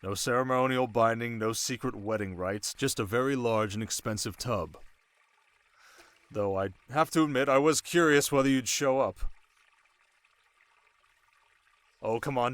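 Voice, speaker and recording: A man playing a young, sharp desert king in an intimate ASMR roleplay, teasing his new wife with measured, quietly commanding speech. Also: mid-range voice, no echo, natural voice. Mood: teasing, soft.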